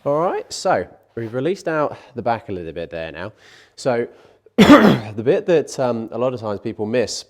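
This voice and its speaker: A man with a stuffy, slightly nasal voice from a cold, calmly guiding a stretching class with light self-mocking asides. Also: medium pitch, a little slow. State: congested from a cold, a bit nasal. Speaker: fitness instructor.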